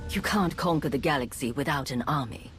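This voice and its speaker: An actress playing a cool, calculating imperial spy in a video game, probing and persuasive in a measured way. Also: female voice, a bass voice, music in the background. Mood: cool challenge. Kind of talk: dramatic video game acting.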